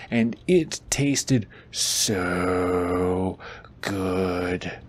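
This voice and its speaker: A man in a boyfriend audio roleplay, reading a bedtime story aloud with goofy, teasing casual asides. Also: mid-range voice.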